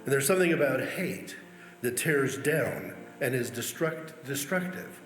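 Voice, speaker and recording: A man's voice: mid-range voice, animated, measured pace, fairly bright tone. A man reading quotations aloud as a church member. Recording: echoey hall, music underneath. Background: music.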